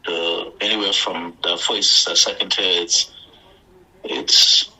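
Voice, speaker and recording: A man's voice: deep voice, steady tone, takes it slowly, sharp tone, slightly rough, rough recording. A man giving unscripted interview answers as an executive, hesitant and often repeating his words. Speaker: airport authority chief executive being interviewed.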